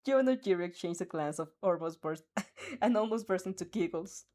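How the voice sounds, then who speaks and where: A woman's voice: fairly low pitch, lively intonation, a little fast, warm tone. A woman reading fan fiction aloud as an amateur narrator, giggly, stumbling over words and adding her own asides.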